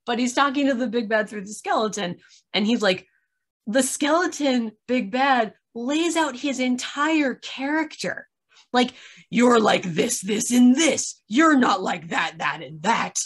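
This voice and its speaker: A woman's voice: fairly high pitch, animated, measured pace. A woman in her thirties chatting casually on a video call, recounting stories with wry exasperation and acted-out asides. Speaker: podcast reviewer in a video chat. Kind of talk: casual chat, opinionated recounting. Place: small room at home, on a video call.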